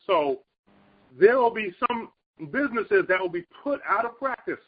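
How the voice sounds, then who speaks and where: High, husky man teaching scripture. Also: expressive, moderate pace, narrow, tinny audio. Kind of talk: Bible teaching in conversation.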